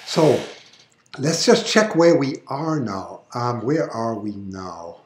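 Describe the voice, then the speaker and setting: A man's voice: medium pitch, big pitch swings, slow pace, echoey room. A man from Germany with a mixed international accent, hosting a live eclipse stream from his backyard at night, talking in an enthusiastic, chatty way and often restarting his words. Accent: mixed international with German roots. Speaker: livestream host showing an eclipse. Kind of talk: live commentary.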